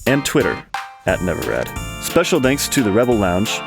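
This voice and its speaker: A man's voice: fairly low pitch, wildly swooping pitch, moderate pace, creaky, over music. A man reading scripted show credits as an announcer.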